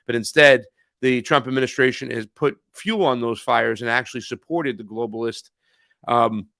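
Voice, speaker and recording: A man co-hosting a political podcast, animated and profane, mocking others in put-on voices. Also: mid-range voice, normal speed, creaky, no room sound. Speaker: podcast co-host. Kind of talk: casual political talk show.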